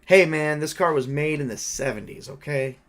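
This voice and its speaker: A man's voice: fairly high pitch, moderate pace, over a noisy crowd, natural voice. A man improvising as a broke, defensive college teaching assistant in his thirties in a tabletop roleplay.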